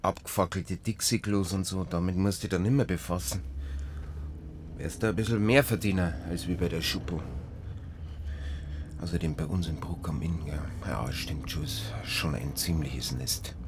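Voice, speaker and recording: An actor playing an easygoing, phlegmatic country policeman with a hoarse voice and a Bavarian accent. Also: low voice, normal speed, noisy background, close to an everyday voice. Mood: low-key, sincere. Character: phlegmatic, loyal small-town Bavarian policeman, uneasy. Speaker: rural police officer.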